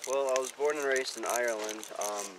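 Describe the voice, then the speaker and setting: A young man acting out amateur film dialogue in a halting, casual way, recorded outdoors on a camera mic. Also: mid-range voice, background noise. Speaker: amateur actor in film outtakes. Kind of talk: rehearsing scripted dialogue, casual. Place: outdoors in woods.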